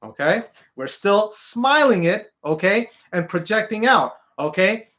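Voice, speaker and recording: A man with a deep speaking voice teaching a singing lesson, who ends nearly every phrase with "okay?" and sings short demonstration notes. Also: animated, measured pace, a bit bright.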